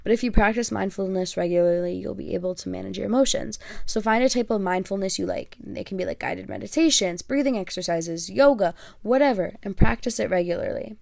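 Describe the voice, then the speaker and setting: A young woman, Greek by first language, hosts a solo podcast in a rambling, self-deprecating chat full of little fillers. Her voice has a gravelly, fry-tinged texture at an ordinary pitch. The sound is dry and close, with no room around it. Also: a little fast, hardly any pauses, darkish tone, dry recording. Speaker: podcast host. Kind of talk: casual solo podcast talk.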